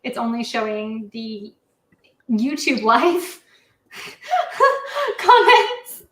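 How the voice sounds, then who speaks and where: A woman's voice: fairly high pitch, wildly swooping pitch, extremely slow, a bit bright. Giggly Midwestern woman in an echoey room. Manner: giggly, talks through laughs. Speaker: live stream host. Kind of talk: casual chat.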